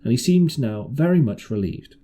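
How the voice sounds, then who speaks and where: A man's voice: mid-range voice, animated, moderate pace, mellow. A man narrating an adventure novel as an amateur audiobook reader, now and then stumbling over a word.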